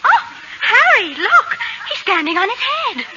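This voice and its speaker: Flustered, high-voiced suburban mother. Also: very animated, a little slow, exaggerated voice. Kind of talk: 1940s radio comedy acting. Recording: dull, hissy old radio broadcast.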